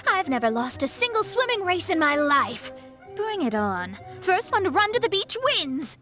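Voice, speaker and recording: A woman's voice: extremely high pitch, very animated, normal speed, pushed and bright, faint music behind, exaggerated voice. A woman voicing a proud, theatrical, combative young female rival in a video game. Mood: haughty, challenging. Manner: haughty, dramatic.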